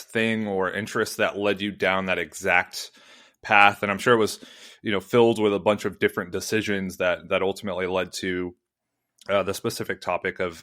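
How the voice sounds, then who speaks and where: Male podcast host, very low voice, loose and chatty, lots of ums. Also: pushed and bright. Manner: rambling, many restarts and fillers. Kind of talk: podcast interview.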